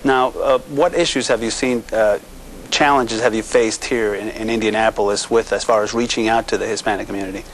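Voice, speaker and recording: A middle-aged man hosting a TV studio interview, asking halting questions and echoing his guest's words. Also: on the low side, fairly even intonation, slight background noise. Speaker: TV host.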